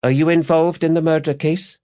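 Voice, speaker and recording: Candid, curious schoolgirl daughter, played by a male narrator: high voice, girlish and chatty, slightly dull audiobook sound. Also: flat delivery, a little fast, mellow, smooth, close to an everyday voice. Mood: anxious curiosity. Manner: girlish, informal, frank. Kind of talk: audiobook character dialogue.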